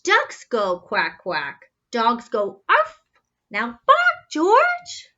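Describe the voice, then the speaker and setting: An exasperated mother dog from a children's storybook, as a woman reader puts her on. She corrects and commands slowly and emphatically, in a bright voice that leaps very high. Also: huge pitch swings, lo-fi sound, exaggerated voice. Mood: firm, instructive. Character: George's mother, exasperated, coaxing female dog. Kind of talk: children's storybook read-aloud.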